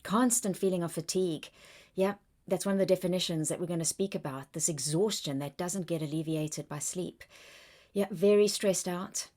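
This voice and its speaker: A woman's voice: fairly low pitch, normal speed, darkish tone. A woman with a South African accent, a workplace wellbeing coach presenting on a video-call webinar, engaging the audience with questions.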